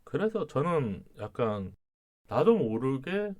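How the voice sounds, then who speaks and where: A man with a regional Korean dialect hosts a relaxed advice chat. He speaks thoughtfully, pausing mid-sentence. His pitch swings widely as he talks. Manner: calm, reflective, pauses mid-sentence. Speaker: podcast host.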